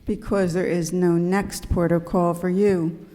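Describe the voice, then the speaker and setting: Man playing a bossy cowboy ship captain, mellow-toned. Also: high-pitched, flat delivery, unhurried. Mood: ominous. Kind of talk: amateur live radio skit acting. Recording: echoey hall, amateur live recording.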